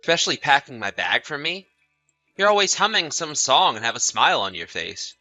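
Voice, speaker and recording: Man acting as an anxious, bright-voiced husband. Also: a little high, big pitch swings, a little fast, soft background music, exaggerated voice. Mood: probing. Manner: apologetic, questioning. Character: anxious, trusting husband often away on business. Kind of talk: scripted dialogue in an audio drama story.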